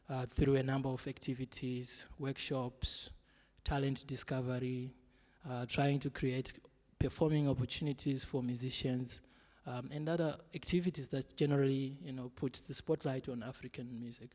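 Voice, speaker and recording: A man from Zimbabwe giving a panel presentation, speaking in a measured way with frequent fillers. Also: mid-range voice, little pitch movement, a little mellow. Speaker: guest speaker. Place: large exhibition hall. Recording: long hall reverb.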